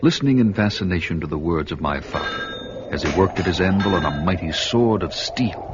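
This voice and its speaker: A brooding barbarian narrator. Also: male voice, sits low, animated, brisk, heavy background noise. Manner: grave, dramatic storytelling. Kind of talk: old radio drama narration. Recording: dull old radio audio, loud music.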